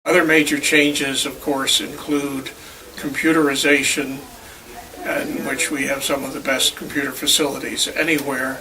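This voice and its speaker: An elderly man with a bright, crisp-edged voice gives measured, formal interview answers. He sounds a little way from the microphone, with faint noise behind him. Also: medium pitch, level tone. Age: 70s or older. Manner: formal, complete sentences. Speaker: university professor. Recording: distant mic, faint background noise.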